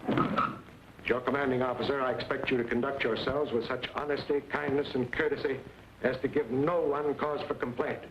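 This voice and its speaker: An actor playing a stern, principled American army commander in a western, speaking in a formal, measured, authoritative way. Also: male voice, medium pitch, steady tone, lightly acted. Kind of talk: 1940s western film acting. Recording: dull, noisy old film soundtrack.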